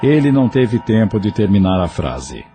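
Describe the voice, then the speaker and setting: A man narrating an audio drama in a measured storytelling manner. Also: lowish voice, big pitch swings, dark tone, faint music behind.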